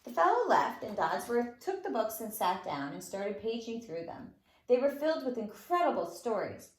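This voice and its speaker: A woman with a fairly high voice reads a children's story like a nursery school teacher. Her delivery is very animated, with dramatic emphasis. The recording has a roomy echo. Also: moderate pace. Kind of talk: expressive read-aloud storytelling for young children. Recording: roomy, echoing room sound.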